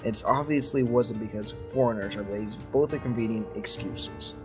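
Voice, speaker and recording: A man's voice: on the low side, normal speed, music in the background. A man reading a creepy story aloud to friends in an informal way. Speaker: story reader.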